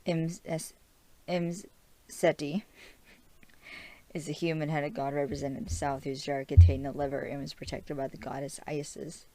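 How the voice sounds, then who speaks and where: A woman's voice: deep voice, level tone, moderate pace, dark tone. A woman hosting a fan show, recapping a TV episode in a casual, chatty way with lots of fillers and small giggles. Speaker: podcast host.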